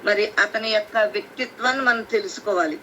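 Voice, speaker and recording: Bright-voiced woman preaching over a phone call. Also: mid-range voice. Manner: explains verses slowly, with restarts and repetition. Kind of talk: Bible teaching on a prayer call. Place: conference call. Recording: phone line with faint hiss.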